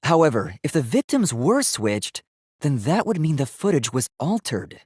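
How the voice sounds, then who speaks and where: A polite young male robot from a video game, speaks in a fairly high voice with very animated intonation. The delivery is formal, articulate and earnestly logical. The sound is dry, with no room on it. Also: normal speed, husky, no echo. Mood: certain, reasoning. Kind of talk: English video game voice acting.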